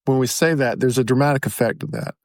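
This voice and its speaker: A man's voice: mid-range voice, fast talker, no echo, studio quality. A man co-hosting a podcast in good-humored, casual conversation. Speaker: podcast co-host. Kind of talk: casual chat.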